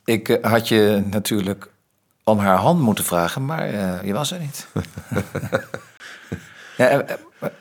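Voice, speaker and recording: An actor playing an awkward, formal, erudite man in a radio play, hesitant and husky. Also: fairly low pitch, takes it slowly, pauses often. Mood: sheepish, formal.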